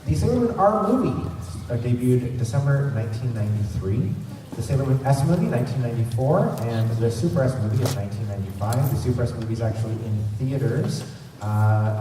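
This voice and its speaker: A man presents informally to a fan panel, chatty and rambling. He is recorded at a distance in a very echoey hall with clear background noise. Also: medium pitch, animated, brisk. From Canada. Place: large convention centre room.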